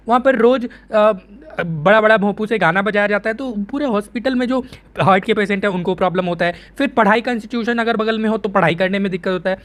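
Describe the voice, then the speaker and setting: High-pitched Indian man explaining the news. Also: a bit bright. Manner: conversational, repeats phrases for emphasis, asks and answers own questions. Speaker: presenter of an explainer video. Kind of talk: news explainer, teaching informally.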